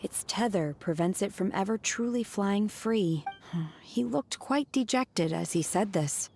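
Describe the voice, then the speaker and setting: A woman voicing a reserved, earnest young woman who speaks quietly and thoughtfully, in a game cutscene. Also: mid-range voice, expressive, a little slow, creaky, slight background noise. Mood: pensive.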